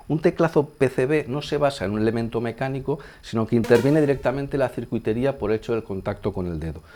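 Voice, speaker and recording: A man's voice: fairly low pitch, darkish tone. A man with an accent from Spain giving an unscripted tutorial in a conversational, explanatory way, checking in with the listener as he goes. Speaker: sound engineer giving a synthesizer tutorial.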